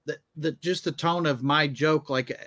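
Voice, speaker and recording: A man's voice: on the high side, measured pace, a bit bright, no room sound. A man who co-hosts a comedy podcast, chatting casually in a hesitant, self-deprecating way. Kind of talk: casual chat.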